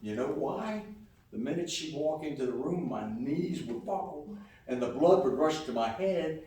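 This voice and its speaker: A man's voice: fairly high pitch, animated, dark tone, natural voice. Man acting folksy old grandpa in a very echoey hall. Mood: tender, wistful. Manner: folksy, plainspoken, unhurried. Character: genial, stubborn old grandfather, folksy and sentimental. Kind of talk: live radio play comedy acting. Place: live stage performance in a large echoey room. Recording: very roomy, distant live hall recording.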